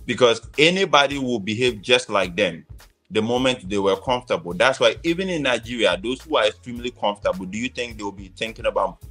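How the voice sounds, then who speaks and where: A man's voice: on the low side, expressive, fairly quick, a bit bright, soft background music. A man from Ghana with a Ghanaian accent gives his opinions conversationally as a guest, emphatically and often checking in with "right?". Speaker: interview guest.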